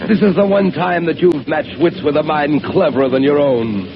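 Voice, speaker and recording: A man's voice: fairly high pitch, moderate pace, muffled recording, natural voice. Cackling madman submarine captain, a man plays him, theatrical 1930s radio villain, old recording with hiss. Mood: defiant. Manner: theatrical, cackling, barking orders. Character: mad gloating male submarine captain villain.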